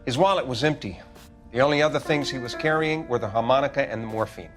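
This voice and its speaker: An actor playing a matter-of-fact American police coroner in a 1940s detective game, speaking in a dry, clinical way. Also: male voice, mid-range voice, quick pace, music in the background, natural voice. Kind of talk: video game acting, 1940s detective drama.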